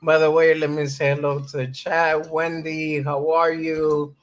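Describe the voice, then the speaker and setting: A male host speaks slowly in a fairly high voice during an easygoing livestream. He is chatty and greets the chat as he goes. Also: flat delivery. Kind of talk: casual livestream interview.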